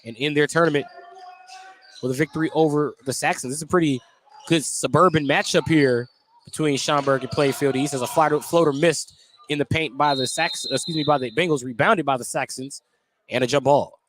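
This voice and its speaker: A man's voice: on the high side, steady tone, brisk, fairly bright tone, slight background noise, low-quality recording. A man with an American accent doing live radio play-by-play commentary of a basketball game in clipped phrases. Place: indoor basketball gym.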